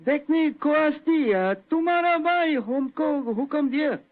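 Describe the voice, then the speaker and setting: A wary Indian agent in an old radio play. His accented voice is very high and swooping, halting and drawn out, on a tinny recording. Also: animated, faint music behind. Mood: deferential. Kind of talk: old-time radio drama acting.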